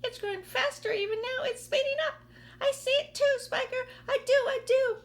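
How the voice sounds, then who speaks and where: A woman voicing a greedy, bossy aunt in a shrill, screechy, squealing voice. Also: a little slow, pushed and bright, full character voice. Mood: excited.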